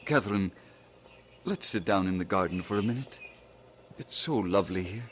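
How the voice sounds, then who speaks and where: An actor playing an earnest young Scottish minister, speaking gently and haltingly in a radio drama. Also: male voice, deep voice, a little hiss or hum, natural voice. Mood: cheerful. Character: earnest Scottish young male preacher in love. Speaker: minister proposing marriage. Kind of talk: 1950s radio drama acting.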